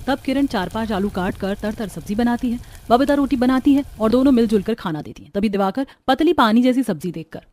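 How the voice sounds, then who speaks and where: A woman's voice: a little high, lively intonation, a little fast, hardly any pauses, a little mellow. A woman narrator reading a scripted cartoon story. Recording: music behind the voice.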